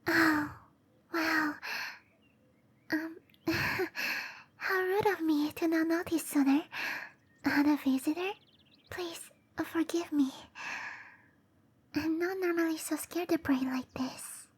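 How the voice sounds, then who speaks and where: A woman voicing a gentle, shy cherry blossom dryad in whispery roleplay ASMR, with soft breathy speech and a tender, hesitant manner. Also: extremely high pitch, fairly even intonation. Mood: apologetic, flustered. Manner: slow, tender, hesitant delivery.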